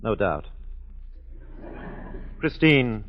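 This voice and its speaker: A man's voice: fairly low pitch, very animated, very slow, lo-fi sound, slight background noise, full character voice. A man acting a courteous but jealous police inspector in a 1940s radio drama, formal, clipped and drily probing. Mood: dry, probing.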